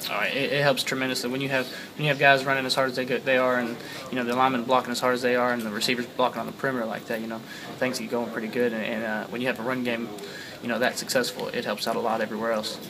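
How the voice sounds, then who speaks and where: A young man, a college quarterback, answering reporters after a game, halting with many fillers and repeated words, recorded on handheld mics and phones held close. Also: mid-range voice, level tone. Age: young adult. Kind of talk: postgame media scrum. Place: crowded locker-room interview area, indoors. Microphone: several handheld mics and phones held close. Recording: busy crowd chatter behind.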